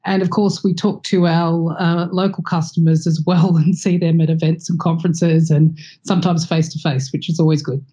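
A woman's voice: low-pitched, little pitch movement, moderate pace, mellow. A woman, a guest on a podcast, answering in a friendly, chatty way with many ums. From Australia. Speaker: guest expert.

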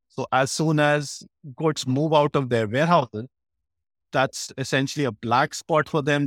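A man with a mid-pitched voice gives expert interview answers. He explains step by step in a structured, methodical manner, and the sound is dry with very little room. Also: dry recording. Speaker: guest expert, supply chain practice lead.

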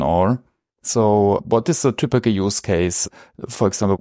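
A man's voice: lowish voice, darkish tone, dry recording, clean studio sound. A man with a German accent hosting a podcast interview in a conversational style. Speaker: podcast host.

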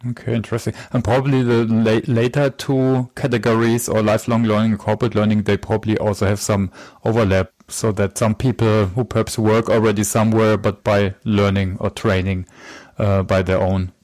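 Male podcast host, lowish voice, dry recording; halting, filler-heavy questioner. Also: flat delivery, darkish tone, dry recording. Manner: hesitant, with many uhs and "let's say". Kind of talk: podcast interview.

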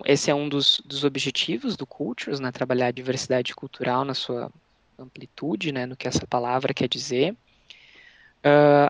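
A young man, a podcast guest, explaining his work in an earnest, measured way with frequent fillers. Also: medium pitch, no echo. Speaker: podcast guest, employee network leader.

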